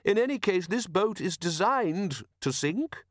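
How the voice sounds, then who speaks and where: A man acts out a gentle storybook inventor with a voice pitched well up high and very lively. He is earnest and diplomatic, rambling mildly and apologetically as his absent mind wanders. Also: measured pace, no echo, clean studio sound.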